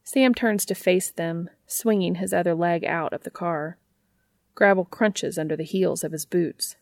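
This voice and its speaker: A woman's voice: lowish voice, measured pace, darkish tone, no echo. A woman narrating a piece of written fiction in the style of an audiobook.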